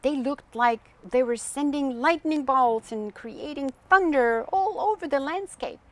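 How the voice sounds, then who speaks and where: A woman's voice: high voice, unhurried. A woman voicing a timid, easily awed storybook child, anxious and dramatic. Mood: awed, nervous.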